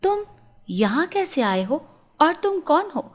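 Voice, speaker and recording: A woman's voice: high voice, big pitch swings, takes it slowly, voice pushed up, exaggerated voice. A woman voicing a tender-hearted young princess in a storybook tale, anxious and earnest, often questioning.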